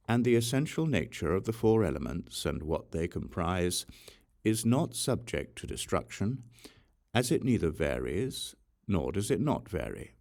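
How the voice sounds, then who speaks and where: Deep-voiced man reading scripture with wide intonation. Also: creaky, darkish tone. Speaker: audiobook reader. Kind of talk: scripted reading of a religious text.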